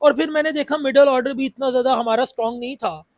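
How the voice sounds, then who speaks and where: A man's voice: very high voice, fairly even intonation, fast, no room sound, studio quality. A man with a sore throat, chatting about cricket in a casual, repetitive way with many fillers. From Pakistan. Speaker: cricket YouTuber. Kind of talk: casual cricket analysis talk.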